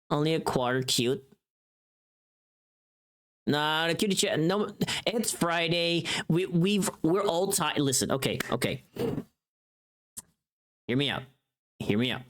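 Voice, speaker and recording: A livestreamer chatting casually with viewers in a playful, teasing, rambling way. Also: mid-range voice. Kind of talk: casual chat with live viewers.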